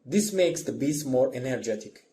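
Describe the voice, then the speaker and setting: Mellow male music instructor, noisy recording. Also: medium pitch, expressive, moderate pace. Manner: explanatory, simple phrasing. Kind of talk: scripted lesson narration.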